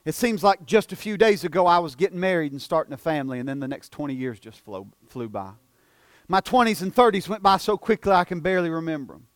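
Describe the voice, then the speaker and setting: Man in his forties, pastor preaching, high-pitched, dry close sound, earnest and halting. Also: dry recording. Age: 40s. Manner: earnest, repeats and stumbles on words, drops soft for emphasis. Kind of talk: sermon.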